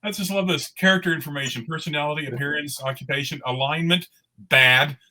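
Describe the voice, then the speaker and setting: A man joking casually as a panelist, in a halting way. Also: fairly high pitch, sharp tone. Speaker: panelist in a script reading.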